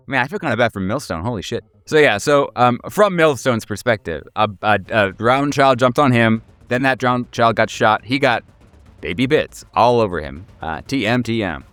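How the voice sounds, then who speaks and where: A man's voice: medium pitch, animated, normal speed, fairly bright tone, no echo. A man game mastering a horror tabletop game in casual, improvised narration, joking and swearing freely. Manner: profane, joking.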